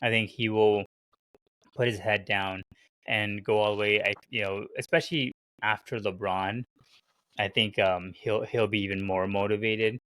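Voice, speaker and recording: Podcast co-host, male, low voice, dry booth sound, animated riffing. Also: normal speed, no room sound. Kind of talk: casual sports chat.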